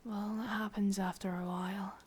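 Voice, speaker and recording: A woman with an English accent playing a sadistic, possessive witch in a whispered, breathy ASMR roleplay, commanding yet doting, with deliberate, intimate delivery. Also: medium pitch, level tone, a little mellow, close to an everyday voice. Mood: coaxing, controlling.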